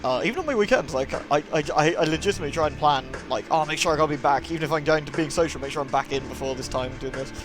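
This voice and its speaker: A man's voice: fairly high pitch, rapid-fire, hardly any pauses. A British man hosting a livestream, chatting informally and in a friendly way as he greets and answers his viewers. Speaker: live streamer, host. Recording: music playing behind the voice. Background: moody music.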